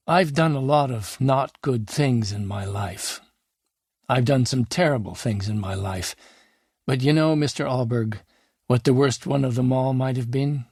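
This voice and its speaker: A man's voice: medium pitch, darkish tone, breathy edge, no echo, voice pushed up. A wry, reflective old man, as a male narrator puts him on, owns up to his regrets in a rueful letter. His voice sits mid-height and plain, the sound dry and close. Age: older.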